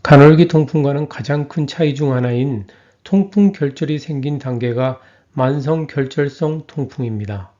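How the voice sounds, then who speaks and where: A man reading a scripted health lecture in an even, measured way. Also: mid-range voice, dull audio.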